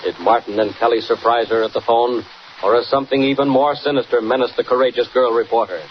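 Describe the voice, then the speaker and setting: An old, muffled radio recording with faint noise presents an American announcer played by a man. He narrates an adventure serial in an emphatic, suspense-building 1940s style, at a middle pitch. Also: steady tone, fast, natural voice. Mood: urgent, teasing.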